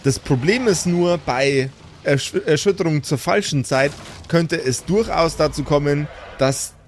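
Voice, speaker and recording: A man's voice: a little high, measured pace, a little hiss or hum. A man acting as game master, improvising scene descriptions for a tabletop role-playing game.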